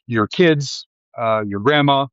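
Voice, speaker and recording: A man's voice: medium pitch, takes it slowly, fairly bright tone, clean tone, no room sound, highs cut off. A man from Ohio with a Midwestern accent, hosting a live video and talking in a rambling, digressive way with many fillers and restarts. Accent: Midwestern American. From Ohio, USA. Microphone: live stream mic.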